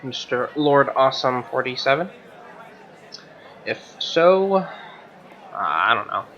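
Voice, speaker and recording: Casting: young male game streamer, bright tone, mid pitch, reads story text aloud with casual, slangy reactions. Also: big pitch swings, slow pace, muffled recording, some chatter behind. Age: young adult. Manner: slangy, offhand.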